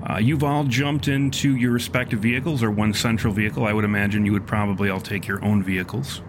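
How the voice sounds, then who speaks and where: A man improvising narration as game master of a tabletop horror game, with measured, wordy, formal phrasing. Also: fairly low pitch, steady tone, noisy background.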